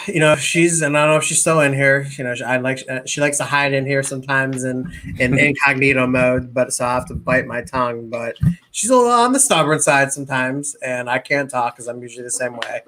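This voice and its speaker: Bright-voiced man chatting casually. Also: mid-range voice, fairly quick, a little hiss or hum, rough recording. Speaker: podcast co-host. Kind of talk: casual chat.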